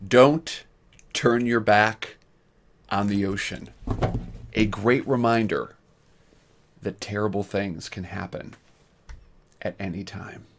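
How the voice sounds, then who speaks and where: Male reader, trivia answer, slow measured delivery, lowish voice. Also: slight background noise.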